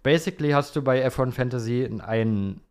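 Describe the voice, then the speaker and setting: A man's voice: medium pitch, brisk, clean tone. A young man co-hosting a podcast, casually answering listener questions in an easygoing, colloquial way. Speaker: podcast co-host.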